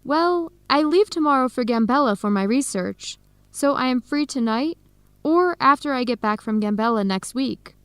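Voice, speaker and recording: High, clear young researcher. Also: female voice, animated, normal speed, fairly bright tone, no echo. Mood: pleased, agreeable. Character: cheerful young woman researcher accepting a date. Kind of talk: educational radio drama acting.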